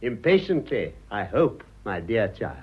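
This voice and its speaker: A man's voice: on the low side, sing-song, measured pace, breathy edge, lo-fi sound, heavily acted. An actor playing an urbane elderly father with an upper-class English accent, drily flippant and courteous in a radio drama. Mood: playful, courteous. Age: older. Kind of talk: stage comedy of manners radio acting.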